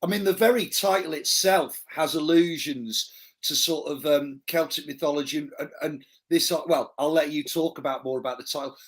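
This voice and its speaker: Higher-voiced male podcast host. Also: normal speed. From North Wales. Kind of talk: live podcast chat.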